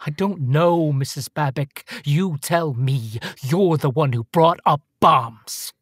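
An actor playing a hard, menacing investigator in a radio play, pressing and accusatory with theatrical delivery. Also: male voice, on the high side, slow, husky, no room sound, studio quality. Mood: probing, cold. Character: hard, menacing investigator grilling an immigrant wife.

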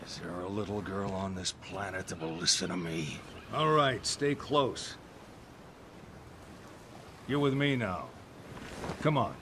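A low-voiced American man in middle age, gruff but fatherly. He talks plainly and protectively, like a tough dad on a mission, over clearly audible game ambience. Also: takes it slowly, pauses often, noisy background. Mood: gentle, gruff. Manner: gruff, paternal, plain-spoken. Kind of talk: video game cutscene acting.

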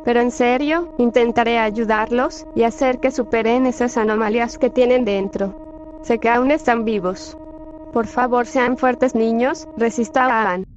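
A woman's voice: fairly high pitch, steady tone, a little slow, natural voice. A synthetic text-to-speech woman's voice playing a weary, protective church nun in a fan-dubbed cartoon game. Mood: pleading, determined. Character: weary, protective church nun fighting evil spirits, kind to children. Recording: muffled lo-fi audio over music. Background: game music.

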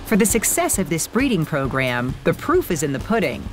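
A woman narrates a documentary with a lower voice that moves a lot in pitch. Her reading is lively and playful. Clear music plays under her. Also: a little fast. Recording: voice-over with music bed.

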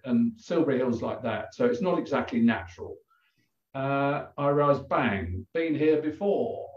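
An older man with an English accent, a guest telling a personal story over a video call in a halting, reflective way with many pauses. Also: medium pitch, darkish tone. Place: plain room indoors. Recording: roomy, distant, dull video call audio.

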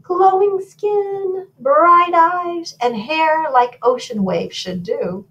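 A woman voicing a fussy, dramatic queen in a read-aloud storybook, with theatrical, drawn-out exclamations. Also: very high voice, fairly bright tone, recorded from a distance, dull audio, voice pushed up, full character voice. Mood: dreamy.

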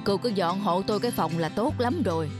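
A woman voicing a nagging, sarcastic middle-aged mother in a family cartoon, scolding her daughter briskly over background music. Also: medium pitch, natural voice. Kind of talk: cartoon dub acting.

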